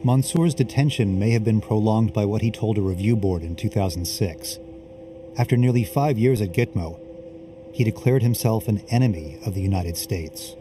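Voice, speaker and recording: A man's voice: lowish voice, moderate pace, background noise. A man working as a reporter, reading scripted narration for a documentary. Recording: music under the voice. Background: background music.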